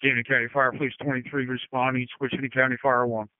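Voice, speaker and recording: Male responder radio calls: extremely quick, mid pitch, dry and tinny narrowband channel. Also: little pitch movement, no echo. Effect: two-way radio. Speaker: emergency responder calling in by radio. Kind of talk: rapid procedural radio calls.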